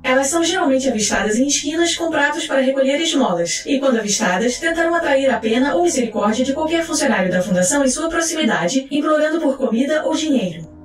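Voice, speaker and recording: A woman narrator reading scripted case files in a formal, clinical, measured manner. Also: high voice, animated. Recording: roomy echo with music underneath. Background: music.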